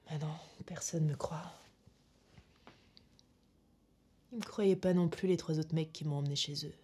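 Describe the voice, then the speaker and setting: An actress playing a menacing, eerily calm young witch in a film monologue, speaking in a slow, deliberate, breathy hush. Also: low voice. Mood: bitter, menacing. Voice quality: breathy, hushed near-whisper. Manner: slow, deliberate, intimate, taunting.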